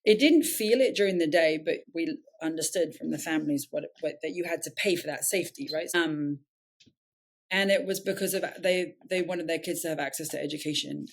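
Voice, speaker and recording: A woman's voice: deep voice, brisk. A woman with an English accent, a nonprofit founder telling her story in an interview, reflective and earnest, often correcting herself mid-sentence.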